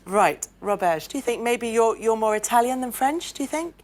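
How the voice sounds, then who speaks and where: A woman hosting a lively panel conversation and presenting results, with a teasing manner and frequent laughter. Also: a little high, normal speed. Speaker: host presenting DNA results.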